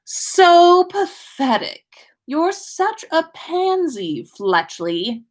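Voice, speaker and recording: A woman's voice: very high voice, big pitch swings, very slow, voice pushed up, heavily acted. Woman as a drawling, sneering schoolboy bully. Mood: sneering contempt. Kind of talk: storybook character reading.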